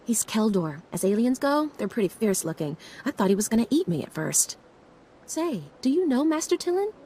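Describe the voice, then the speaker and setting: An actress playing a starstruck young Jedi novice in a video game, chatty and informal. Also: female voice, on the high side, very animated, fairly quick, dark tone, a little hiss or hum. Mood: eager. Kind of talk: scripted video game acting.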